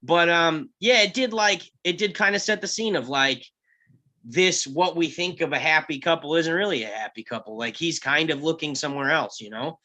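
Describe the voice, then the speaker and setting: A man's voice: a little high, moderate pace, sharp tone. A man in casual discussion, talking in a rambling, hedging way peppered with "you know". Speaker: table-read panelist.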